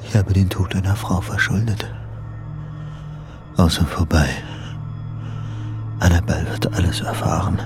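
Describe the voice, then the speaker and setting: A man's voice: deep voice, steady tone, a little slow, long pauses. An actor playing an obsessive, deluded young man in love in a radio play, intimate and brooding, often murmuring to himself. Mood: shaken. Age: young adult. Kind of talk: radio play acting, interior monologue. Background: music.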